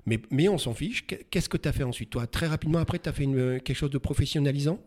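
A man's voice: fairly high pitch, quick pace, a little mellow. A man hosting a casual interview, chatty, with many repeated words and fillers. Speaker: host. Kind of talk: casual interview, warm banter.

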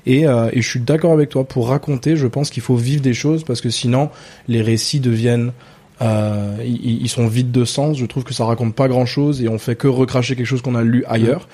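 A man who grew up in Ghana and whose first language is English, talking as a guest on a podcast. His voice is mellow and warm, and his delivery is relaxed. He stops often to find the right word. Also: mid-range voice, normal speed. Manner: thoughtful, with frequent hesitations. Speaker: manga artist guest. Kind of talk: casual podcast interview.

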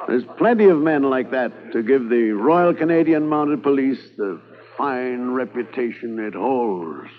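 An actor playing a Mountie sergeant who reminisces slowly and proudly about his men, in a 1950s radio drama. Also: medium pitch, animated, loud music behind the voice, natural voice. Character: adult male Mountie sergeant, proud and reflective. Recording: tinny old radio broadcast.

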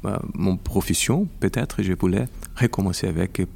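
Gravelly low-voiced Brazilian man. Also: darkish tone. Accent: Brazilian Portuguese. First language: Portuguese. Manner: careful, halting with repeated words. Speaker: intellectual property lawyer. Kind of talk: podcast interview.

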